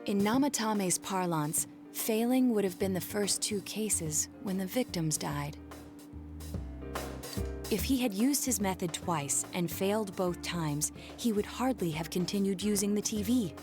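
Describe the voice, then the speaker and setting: A cool, analytical young detective, voiced by a woman for a game dub. The phrasing is formal and deliberate, at a middling pitch, with music clearly behind. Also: expressive, close to an everyday voice. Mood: grave deduction. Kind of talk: English video game dub acting.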